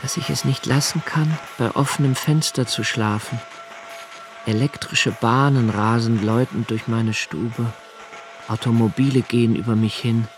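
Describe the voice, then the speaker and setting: An actor playing an anxious, observant young poet narrating a radio play in a slow, hushed, intimate way, sometimes sinking to a near whisper. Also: male voice, medium pitch, fairly even intonation, lightly acted. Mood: brooding. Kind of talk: literary radio drama reading.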